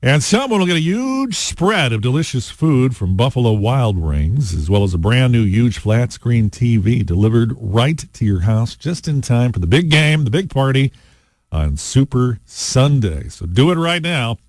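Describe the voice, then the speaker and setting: A man hosting a rock radio show, talking in a relaxed, chatty way with frequent fillers between songs. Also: mid-range voice, normal speed, no room sound, studio quality. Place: radio studio. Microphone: studio microphone.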